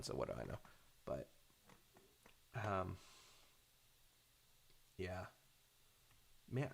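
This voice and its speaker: A man chatting casually in a halting, hesitant way, rambling off on tangents. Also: low-pitched. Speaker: fandub creator talking off the cuff.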